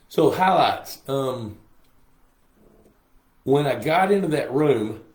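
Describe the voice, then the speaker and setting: An older man, a little hoarse, chatting casually with livestream viewers in a folksy way. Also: mid-range voice, a little mellow. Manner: chatty, folksy, reads viewer comments aloud. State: hoarse from a lingering cough. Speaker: host. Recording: roomy echo on a live stream.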